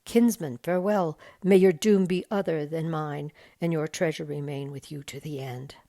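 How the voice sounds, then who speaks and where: A woman's voice: deep voice, steady tone, moderate pace, dark tone, no room sound, clean studio sound. A woman voicing a grave, courteous male elven lord in a storybook, with formal, stately speech. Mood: stately farewell.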